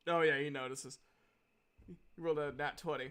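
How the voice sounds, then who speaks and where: A man's voice: a little high, steady tone, moderate pace, a bit bright, clean tone. A man chatting casually as he takes part in a tabletop roleplaying game.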